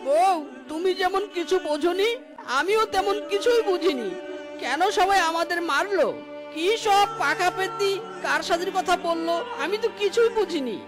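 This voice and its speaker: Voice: extremely high pitch, moderate pace, over music. A performer voicing an innocent, bewildered poor animal husband in a cartoon story, with a pleading manner. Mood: puzzled.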